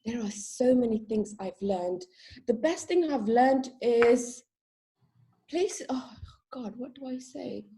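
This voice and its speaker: A woman's voice: a little high, slow pace, dark tone. A young woman from Sri Lanka, a guest in a casual interview, talking openly and chattily and laughing at herself.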